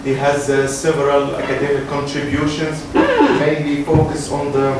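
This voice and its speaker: A man moderates a talk in a very echoey room, picked up from far off with clear noise around him. He introduces speakers off the cuff, haltingly, searching for his words. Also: fairly high pitch, very animated. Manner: halting, hesitant delivery. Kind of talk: unscripted event introduction. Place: university room. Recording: echoey room, distant mic, noisy.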